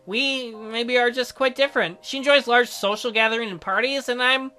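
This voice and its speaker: A man voicing a shy, gentle, awkward doctor in a visual novel, with a hesitant and mild manner. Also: very high voice, steady tone, normal speed, clear, resonant tone, soft background music. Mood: wistful. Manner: hesitant, mild, softly apologetic.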